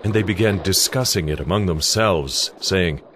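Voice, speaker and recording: A man narrating scripture in an audio drama with a measured storytelling cadence. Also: sits low, a bit bright, a little hiss or hum.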